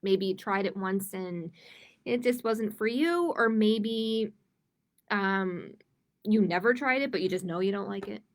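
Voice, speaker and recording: A woman's voice: mid-range voice, a little slow. A woman hosting a storytime for young children, reading picture books aloud expressively with informal asides to the viewers. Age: adult. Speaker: children's library storytime host. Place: live-streamed online storytime.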